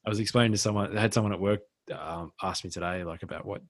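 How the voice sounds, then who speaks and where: A man's voice: low voice, fairly even intonation, fast talker, no echo, studio quality. An Australian man hosting a casual podcast, talking in a blunt, matey way with plenty of swearing. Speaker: podcast host, military veteran.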